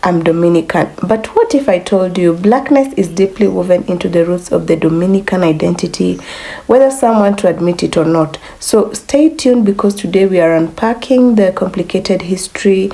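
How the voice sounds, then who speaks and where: A woman reading scripted video narration in a measured way, drawing out key words for emphasis. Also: on the low side, creaky, warm tone.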